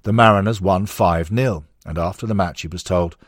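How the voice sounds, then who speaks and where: A man with a very low, husky voice narrates a sports history audiobook. The recording is dry and close, with very little room sound. He reads scripted non-fiction prose. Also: normal speed, no echo.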